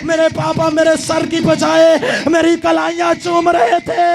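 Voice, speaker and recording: A man's voice: very high voice, completely flat delivery, measured pace, vocal fry, heavily acted. Grieving pleading sister, as a male reciter voices her. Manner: wailing, half-sung.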